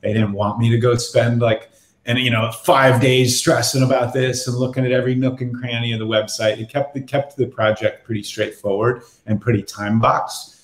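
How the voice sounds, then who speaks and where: A man's voice: mid-range voice, little pitch movement, normal speed. A middle-aged man explaining something casually and in a rambling, self-correcting way on a video call. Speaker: marketing executive on a panel. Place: home room indoors, video call. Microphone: video call, laptop distance. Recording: echoey room over video call.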